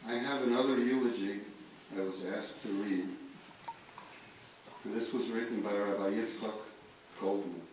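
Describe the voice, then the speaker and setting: Slow-speaking man reading a eulogy, echoey room. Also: fairly low pitch, fairly even intonation, darkish tone. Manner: measured, deliberate phrasing with pauses. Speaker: eulogist reading letters at a memorial. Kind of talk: solemn read-aloud eulogy. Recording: echoey room, distant mic, faint noise.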